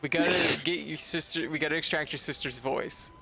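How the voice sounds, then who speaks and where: Gravelly man streamer, joking. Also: on the high side, brisk, sharp tone, a little hiss or hum, poor audio. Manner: joking, playful teasing. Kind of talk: casual chat while gaming. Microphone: streaming microphone.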